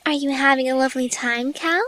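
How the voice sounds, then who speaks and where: A woman voicing a gentle, patient, motherly young female cartoon pony in an audio drama, soft-hearted and coaxing. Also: high voice, fairly even intonation, fairly quick. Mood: soothing. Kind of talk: scripted audio drama character voice.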